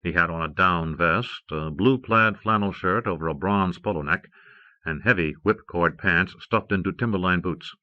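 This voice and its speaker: Muffled, dry cassette audiobook recording of a man with a fairly low voice. He reads scripted fiction as a narrator, close to the microphone. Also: normal speed, dry recording.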